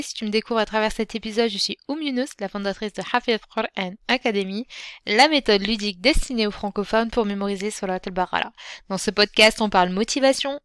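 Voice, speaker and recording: A woman hosting her own podcast as a Quran teacher. She speaks gently and encouragingly, addressing the listener directly, with frequent fillers and restarts. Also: medium pitch, clean tone, no room sound, clean studio sound. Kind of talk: solo podcast talk.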